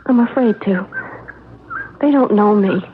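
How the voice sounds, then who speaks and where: A woman playing a wistful, dainty young girl in a radio drama, gentle and polite. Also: mid-range voice, measured pace, natural voice. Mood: shy, pleased. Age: young adult. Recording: tinny, noisy old radio recording.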